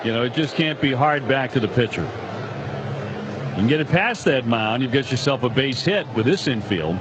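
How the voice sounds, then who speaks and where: A man's voice: mid-range voice, lively intonation, normal speed. A man commenting on a live baseball game in an informal, opinionated, conversational way. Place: ballpark broadcast booth. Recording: noisy live stadium broadcast. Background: clear stadium ambience.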